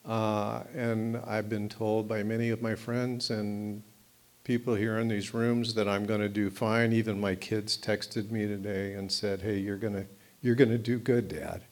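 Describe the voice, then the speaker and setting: Middle-aged man, low voice, slow deliberate pace; nervous personal testimony with lots of "uh" and "um" and restarts. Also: little pitch movement, darkish tone. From United States. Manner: halting, many fillers and restarts. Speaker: recovery meeting speaker.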